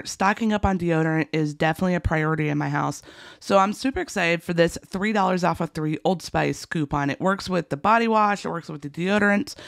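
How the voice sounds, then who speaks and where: A woman talks through shopping deals as the host of a coupon video. Her voice is rough and creaky, at a medium pitch, and recorded dry and close. She sounds chatty, folksy and excitable. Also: no echo. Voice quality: rough, gravelly. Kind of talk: enthusiastic casual deal rundown voice-over.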